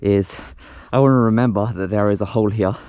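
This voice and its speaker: A man's voice: lowish voice, fairly quick, dark tone, lo-fi sound. A man casually narrating hands-on home renovation, thinking aloud as he works. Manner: unscripted, thinking aloud while working. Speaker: host of a home renovation vlog. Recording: noisy home recording with clicks.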